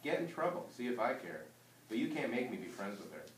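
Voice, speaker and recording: A man's voice: medium pitch, sing-song, fast talker, lightly acted. A man voicing a sullen, distrustful young boy with a hot temper, grumbling and curt, in a storybook read-aloud. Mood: defiant, grudging. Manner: grudging, defiant, curt. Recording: roomy distant mic with background noise.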